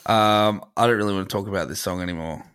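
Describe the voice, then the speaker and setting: A man's voice: fairly low pitch, quick pace, fairly bright tone, clear, resonant tone. Rambling man with many fillers. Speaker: podcast co-host. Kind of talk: casual podcast chat.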